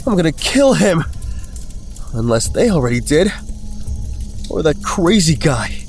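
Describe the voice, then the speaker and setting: A frazzled, self-absorbed young vlogger played by a woman rattles off modern slang in a horror comedy audio drama. The delivery is dramatic and very lively in pitch, over faint music. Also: unhurried, heavily acted. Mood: shaken, mock mourning.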